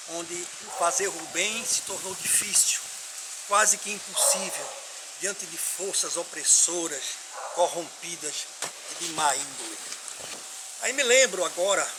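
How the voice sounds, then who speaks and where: An older man with a Northeastern Brazilian accent from Pernambuco delivers an emphatic, oratorical opinion monologue to his followers, with rhetorical questions. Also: a little high. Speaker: political opinion commentator. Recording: clearly noisy background.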